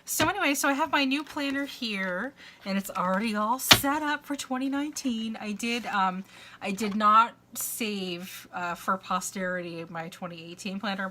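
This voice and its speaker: A woman's voice: mid-range voice, sharp tone, a little hiss or hum. A middle-aged woman vlogging from home in a long, rambling casual chat, congested and fighting a dry cough, with many uhs and restarts. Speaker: crafter and vlogger. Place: at home, indoors.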